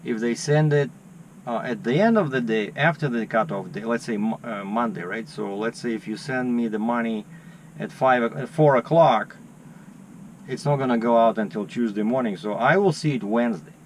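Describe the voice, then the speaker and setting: A man talks casually from his truck cab, telling a long story and acting out the people on the phone. His voice sits at an ordinary middle pitch. A faint hum of vehicle noise runs behind him. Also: moderate pace. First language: Russian. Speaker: truck driver vlogger. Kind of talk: casual chat.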